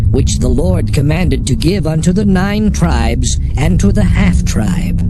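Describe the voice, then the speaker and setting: Guy reading scripture dramatically, declamatory, pitch moves a lot, music playing under him. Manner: solemn, declamatory. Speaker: scripture narrator.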